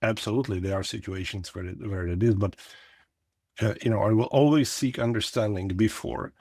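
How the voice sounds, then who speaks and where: Man, middle-aged, reflective podcast host, lowish voice, dry close sound. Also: a little fast, dark tone, dry recording. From Czechoslovakia. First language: Czech. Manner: thoughtful, reflective, lightly joking. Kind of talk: casual conversational interview.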